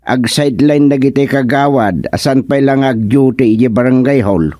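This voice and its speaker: A man's voice: mid-range voice, moderate pace, warm tone, no room sound, studio quality, natural voice. A man playing a practical village man in an Ilocano radio comedy, talking in a matter-of-fact way. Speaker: radio drama character.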